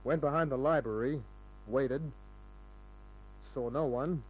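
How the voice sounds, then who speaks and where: Muffled vintage radio sound with music under it. A man acts a hard-boiled private detective, medium-pitched and dry, telling his story and trading sardonic wisecracks in a world-weary way. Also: slow, close to an everyday voice. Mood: terse storytelling. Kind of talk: 1940s-50s radio crime drama narration and dialogue. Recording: dull old radio recording with music.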